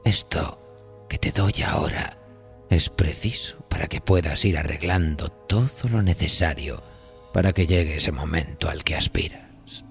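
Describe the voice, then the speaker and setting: Man acting a gravelly, whispery devilish conductor. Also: full character voice. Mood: persuasive, unhurried. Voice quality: low, gravelly, breathy near-whisper. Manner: unhurried, insinuating, amused, addresses the listener familiarly. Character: sly diabolical train conductor, a smooth devilish dealmaker. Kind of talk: audio horror drama acting. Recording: music behind the voice.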